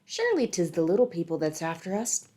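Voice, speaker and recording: A woman's voice: lowish voice, wildly swooping pitch, a little fast, a little mellow. A woman voicing a selfish, wicked young man in a storybook, speaking curtly.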